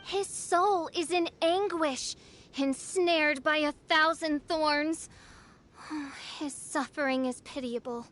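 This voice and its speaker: A woman's voice: high-pitched, slow, fairly bright tone. A woman voicing a melodramatic young noblewoman in a video game, speaking in ornate, solemn, theatrical laments. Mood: sorrowful pity. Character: melodramatic young noblewoman with gothic, ornate, doom-laden speech. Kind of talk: video game character acting.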